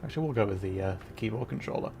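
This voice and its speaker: A man's voice: lowish voice, lively intonation, racing, creaky, noisy background. A man livestreaming a game with casual commentary and chat, half-muttering to himself as he plays. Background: game music.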